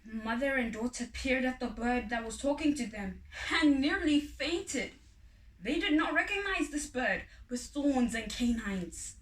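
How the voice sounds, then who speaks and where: A woman's voice: high voice, lively intonation, measured pace. A woman reading a folk tale aloud as a storyteller. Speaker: story reader. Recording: echoey room, distant from mic.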